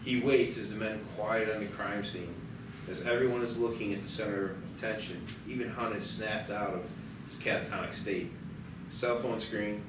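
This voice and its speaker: A man's voice: fairly low pitch, steady tone, moderate pace. A man narrating stage directions from a screenplay at a table read. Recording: echoey, distant mic, loud noise.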